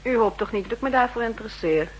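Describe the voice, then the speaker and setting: An actress playing a serious, perceptive young secretary in a 1960s radio drama, reserved, formal and dryly rebuffing. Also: female voice, fairly high pitch, lively intonation, fast talker, a little hiss or hum, lightly acted, low-quality recording. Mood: reserved, probing.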